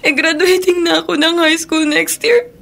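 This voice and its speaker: A woman's voice: extremely high pitch, steady tone, normal speed, vocal fry, fairly bright tone, exaggerated voice. An actress playing a tearful, anxious teenage schoolgirl in a Filipino radio drama, plaintive and whiny, with a Filipino accent. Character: tearful teenage high school girl, anxious younger sister.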